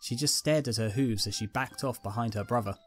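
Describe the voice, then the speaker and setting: Male story narrator over soft music. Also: mid-range voice, fairly quick. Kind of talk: scripted fan fiction story narration.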